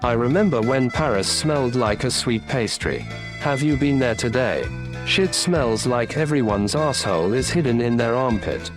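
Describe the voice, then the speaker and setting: A man delivers a crude comedic rant in a mocking tone. Loud guitar music plays behind him. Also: medium pitch, animated, normal speed. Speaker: channel host.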